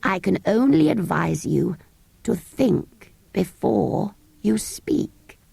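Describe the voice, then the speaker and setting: A woman voicing a grumpy, ancient, sleepy sand fairy in a storybook reading, speaking low and drowsily. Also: very animated, slow pace, creaky, mellow, slightly rough, no echo, heavily acted. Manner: cross, grumbling, indulgent. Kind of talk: audiobook character voice.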